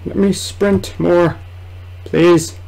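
A young man giving casual, excitable commentary while playing a horror game, muttering and exclaiming to himself. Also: sits high, extremely slow, vocal fry, poor audio. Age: young adult. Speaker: gamer recording a let's play. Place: small indoor room at home.

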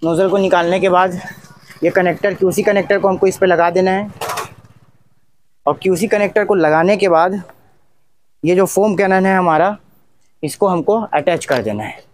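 High-pitched young man presenting. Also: moderate pace, long pauses. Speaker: tech review channel host. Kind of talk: product demonstration vlog narration.

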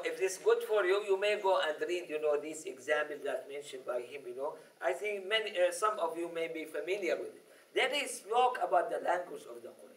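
A middle-aged man whose first language is Arabic teaches a class, pausing often to ask "okay?". His voice sits high for a man. The sound is roomy and a bit distant, as if picked up from across the classroom. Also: a bit bright, slight background noise. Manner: explanatory, frequent "okay?" and "yani" checks. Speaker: religious teacher. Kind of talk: classroom lecture. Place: classroom with whiteboard. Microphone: lapel mic. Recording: echoey room, from a distance.

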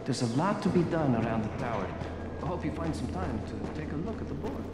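A man's voice: a little high, animated, brisk, echoey room, very noisy, natural voice. An actor playing a chatty man at a survivor shelter in a video game, casual and conversational. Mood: friendly. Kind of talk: video game character acting.

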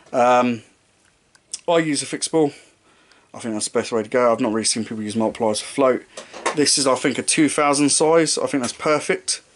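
A young man in his twenties walking through his fishing tackle to camera in a small room, casual and rambling, often correcting himself mid-sentence. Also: mid-range voice. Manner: rambling, self-correcting, offhand jokes about his knots and lighting. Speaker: fishing vlogger. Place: small indoor room with striped curtain. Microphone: camera mic at close range.

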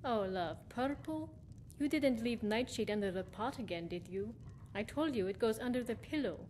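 A woman's voice: a little high, lively intonation, normal speed, darkish tone, slight background noise, lightly acted. Woman playing chiding, superstitious country wife. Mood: gently scolding. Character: superstitious rustic wife and mother, gently scolding, eerily hospitable. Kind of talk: video game character acting.

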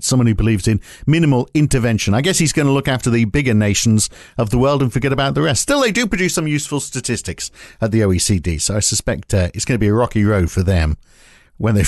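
A man's voice: mid-range voice, darkish tone, no echo, clean studio sound. A man hosting a business podcast, giving sardonic, conversational commentary before introducing his guest. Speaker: podcast host. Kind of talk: opinionated commentary and interview.

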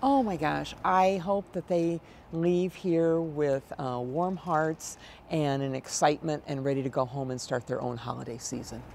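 A woman's voice: deep voice, fairly even intonation, unhurried, vocal fry, darkish tone. An American woman in her sixties answering interview questions in a conversational way as an event director. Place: large exhibition hall, indoors. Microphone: lapel mic. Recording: background noise of an event hall.

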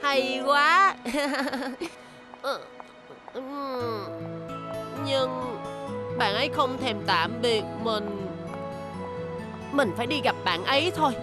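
A kind and earnest little bus from a children's cartoon. The voice is high, friendly and polite, with a gentle manner. Loud music plays behind it. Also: female voice, extremely slow, long pauses. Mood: wistful. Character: kind, earnest young talking red bus. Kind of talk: dubbed children's cartoon acting.

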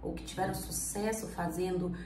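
A woman's voice: on the low side, steady tone, normal speed. A woman in her twenties with a cold, chatting casually to her viewers while she does her makeup, rambling and asking herself questions. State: has a cold. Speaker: vlogger. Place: small indoor room. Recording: roomy, slightly distant mic, faint hum.

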